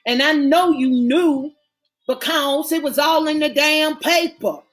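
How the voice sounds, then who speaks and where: A woman's voice: high-pitched, slow, fairly bright tone, pitched above the performer's own voice. Sassy young mother, as a narrator puts her on. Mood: scolding. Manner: sassy, teasing.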